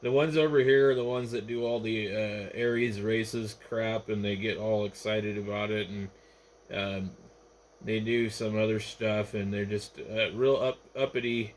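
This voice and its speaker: A man's voice: lowish voice, fairly even intonation, a little slow. An American man, an amateur radio operator, chatting casually over the radio. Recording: noisy ham radio transmission, static behind.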